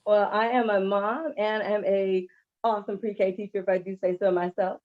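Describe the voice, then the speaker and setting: A cheerful, happy-go-lucky woman casually pitching her products as a guest seller. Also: fairly even intonation, normal speed, lo-fi sound. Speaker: guest vendor of essential oils.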